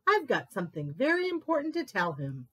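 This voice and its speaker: A woman's voice: on the high side, huge pitch swings, a little fast, breathy edge, full character voice. A woman reading as an eager little girl mouse, Mouse. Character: small eager girl mouse searching for her friend.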